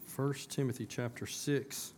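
A hoarse man, a pastor talking to his congregation in a casual, folksy way that turns emphatic. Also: mid-range voice, steady tone, measured pace, darkish tone, slight background noise. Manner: folksy, conversational, rising to emphatic. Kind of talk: casual church announcements and sermon. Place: church sanctuary.

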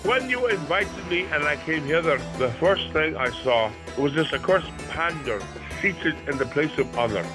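A man's voice: fairly high pitch, close to an everyday voice, low-quality recording. An actor playing an exasperated young man who recounts his misfortunes in dramatic, archaic audio drama narration. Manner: dramatic first-person storytelling in archaic English.

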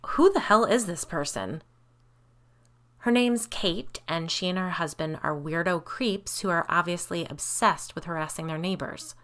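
A defiant woman at the centre of a spooky audio story, played by its female narrator. She is snappish and sweary, frightened but pushing back, with a bright, hard-edged voice. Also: fairly low pitch, unhurried. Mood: angry. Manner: snappish, forceful. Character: defiant, foul-mouthed, frightened woman protagonist of a ghost story.

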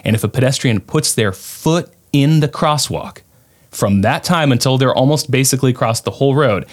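A man's voice: medium pitch, moderate pace. Easygoing man from Southern California. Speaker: podcast co-host. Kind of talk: conversational podcast talk.

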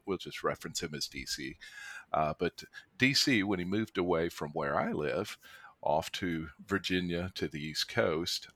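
A man's voice: low-pitched, a bit bright, dry recording. A man co-hosting a podcast in a casual chat, talkative and self-correcting, laughing easily as he introduces a guest. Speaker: podcast co-host.

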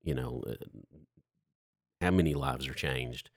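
A man, hosting a casual faith conversation in a reflective, halting, informal way. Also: very deep voice, no room sound, studio quality. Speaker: host.

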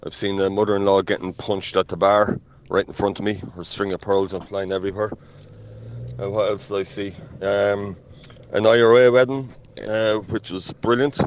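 An Irish man, middle-aged or older, with a deep voice tells casual anecdotes. It is a dull, lo-fi phone voice note left as a listener message. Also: flat delivery, moderate pace, slight background noise. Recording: muffled lo-fi voice note.

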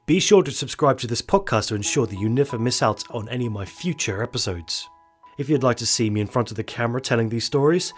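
A man's voice: mid-range voice, slightly rough. A man hosting a storytelling podcast, reading a scripted outro to listeners. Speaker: horror story podcast host.

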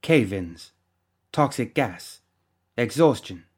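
A man voicing a fierce, resentful woman, a rail-worker rebel bound by duty, with a stiff, forceful delivery in an audiobook. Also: medium pitch, moderate pace, natural voice. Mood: bitter fury. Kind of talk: audiobook character acting.

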